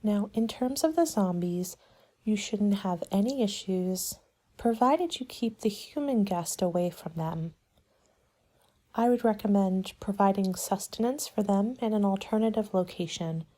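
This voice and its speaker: A woman speaking softly in an ASMR roleplay as a party planning agent, polite and measured, sometimes slipping toward a whisper. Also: mid-range voice. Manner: polite, measured, businesslike consultant patter. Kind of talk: soft-spoken ASMR roleplay, partly whispered.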